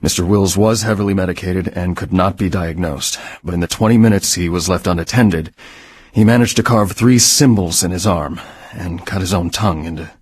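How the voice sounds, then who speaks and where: An actor playing a grave doctor on a Mars base, dictating a measured, clinical audio log for a video game. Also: male voice, sits low, breathy edge. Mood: grave, unsettled.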